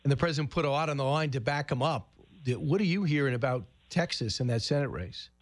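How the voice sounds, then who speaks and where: A man's voice: medium pitch, expressive, fast talker. This is a man hosting a current-affairs radio interview. His voice sits in the middle of the male range. He speaks conversationally, with casual, sporty phrasing as he frames his questions. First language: English. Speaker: radio show host and interviewer.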